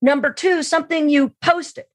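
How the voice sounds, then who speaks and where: A woman's voice: sits high, steady tone, moderate pace, no room sound, clean studio sound. A woman speaking as an expert interview guest, explaining her points conversationally with emphasis on key words. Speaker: podcast guest, sales trainer and keynote speaker.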